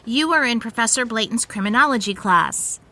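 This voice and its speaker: An actress playing a brooding young punk musician in an audio drama, curt, sardonic and wary. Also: female voice, on the high side, lively intonation, moderate pace, pushed and bright. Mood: guarded, wary. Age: young adult.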